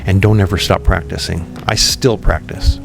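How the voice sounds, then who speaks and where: A man speaking in a very low voice with a gravelly edge, talking through his ideas and pausing on ums as he goes. Clear music plays beneath him for the whole stretch. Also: steady tone. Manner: thoughtful, many ums and restarts. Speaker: podcast guest, company founder. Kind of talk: relaxed interview conversation.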